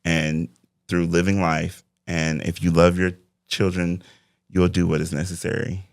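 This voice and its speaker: Deep gravelly man in his forties. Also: flat delivery, unhurried, no echo, clean studio sound. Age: 40s. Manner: reflective, faith-driven talk. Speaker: interview guest, life coach. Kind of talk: casual interview conversation.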